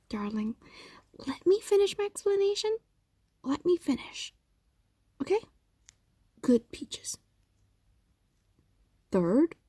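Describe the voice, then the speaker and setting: An ancient female fox demon, acted by a woman dotes possessively on a lover in an intimate audio roleplay. The voice is mellow and breathy, sliding into whispers, with widely swinging pitch. Coaxing and hesitant, this creature sounds tender and dangerous at once. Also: no room sound, heavily acted. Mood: soothing, hushing, then patient explaining.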